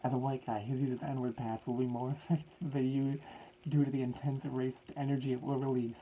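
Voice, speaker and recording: A man's voice: mid-range voice, level tone, brisk, narrow, tinny audio, a little hiss or hum, pitched above the performer's own voice, natural voice. A man voicing a grieving middle-aged male scientist who pleads in a raised, strained voice. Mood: urgent.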